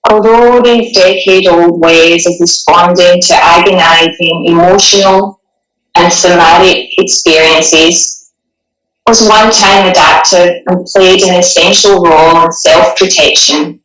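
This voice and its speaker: A woman guiding a meditation in a gentle, soothing, unhurried way, with long pauses between phrases. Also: fairly low pitch, fairly even intonation. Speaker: meditation guide. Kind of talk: guided meditation, partly reading a passage. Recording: echoey room, distant microphone.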